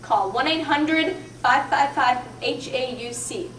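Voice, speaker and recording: A teenage girl performing a mock vintage commercial in a classroom, with a bright, peppy sales pitch. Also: slow pace, slight background noise. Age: teens. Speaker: student actor in a mock ad. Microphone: far camera mic. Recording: echoey room, distant camera audio.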